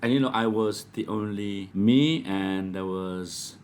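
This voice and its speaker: A man's voice: on the low side, slow, a little mellow, clean tone. A middle-aged Malaysian man with a Malaysian English accent, telling rambling stories about his life as a guest in a casual chat. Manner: rambling, anecdotal, many restarts. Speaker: guest filmmaker.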